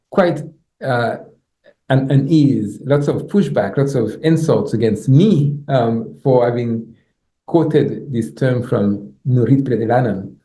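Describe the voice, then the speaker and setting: A middle-aged man with a Haitian accent, a native Haitian Creole speaker, gives an academic lecture in a halting way, repeating words and checking in with "right?". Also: medium pitch, mellow. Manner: halting, repeats words, tags points with "you know" and "right?". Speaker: professor, guest lecturer. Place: indoor lecture room. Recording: echoey room sound.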